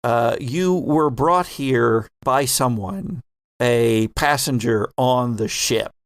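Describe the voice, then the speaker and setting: A man's voice: medium pitch, slow. A man hosting as game master, narrating an improvised tabletop roleplaying game. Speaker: game master and podcast host.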